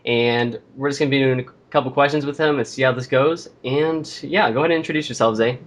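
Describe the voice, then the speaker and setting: A man's voice: medium pitch, fast. A teenage boy hosting a casual interview, asking friendly questions with frequent "um"s.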